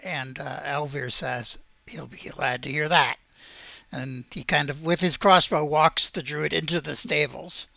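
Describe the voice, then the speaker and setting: A man running a tabletop game with improvised narration. He speaks slowly and haltingly, with a crisp forward tone and frequent vocal fry. The mic is dry and a little dull. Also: a little high, steady tone, pushed and bright, no room sound. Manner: halting, searching for words. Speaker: game master.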